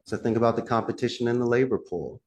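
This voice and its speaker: Man, Philadelphia accent, podcast guest, punchy sales talk, big pitch swings, laughs a lot. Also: fast, slight background noise. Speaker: podcast guest, call center CEO. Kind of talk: animated interview answers.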